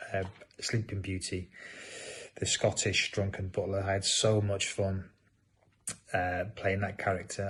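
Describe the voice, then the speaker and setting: A man who performs in amateur pantomime, recalling roles in a measured, informal way over faint background noise. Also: deep voice. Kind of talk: spoken recollection to camera.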